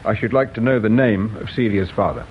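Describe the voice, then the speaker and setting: A quietly probing English inspector in a radio detective play. He has a lowish voice with lively intonation and deliberate, courteous phrasing. The recording is dull. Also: a little hiss or hum. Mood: grave, insistent. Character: polite, patient male police inspector, quietly probing and shrewd. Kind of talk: British radio drama acting.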